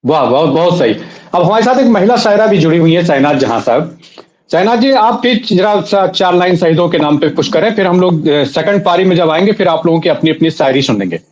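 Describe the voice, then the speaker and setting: Male host, higher voice, courteous and eager, introduces poets. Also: expressive, a little fast, a little mellow, muffled recording. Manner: courteous, with eager praise interjections. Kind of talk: hosting a poetry program.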